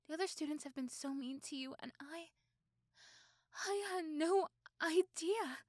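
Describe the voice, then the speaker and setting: A woman voicing a possessive, protective teenage girlfriend in an ASMR roleplay, doting and intense, with dramatic pauses and repeated words. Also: high voice, no room sound, studio quality. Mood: guilty, hurt. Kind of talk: ASMR roleplay acting addressed to the listener.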